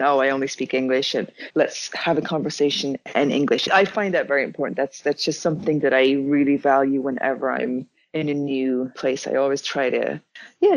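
A young woman with a lowish voice tells stories from her own life in a relaxed, reflective way. She circles back and restarts her sentences as she talks. The recording is dry and close, with no room sound. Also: no room sound, poor audio. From Zimbabwe. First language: Dutch. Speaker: interviewee. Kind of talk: casual conversation.

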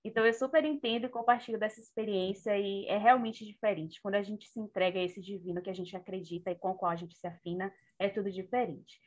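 A Brazilian woman chatting casually and effusively as a panelist giving her closing remarks, telling things in an animated, informal way. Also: mid-range voice, fast talker, few pauses, dull audio. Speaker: panelist on a live online discussion show.

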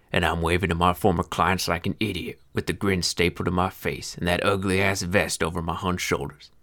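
A man doing a deep-voiced old Western grump. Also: fairly bright tone, dry recording, studio quality. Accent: old-time American Western twang. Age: 60s. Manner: grumbling, sardonic, swears freely. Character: bitter foul-mouthed old male feed seller. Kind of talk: first-person storytelling narration.

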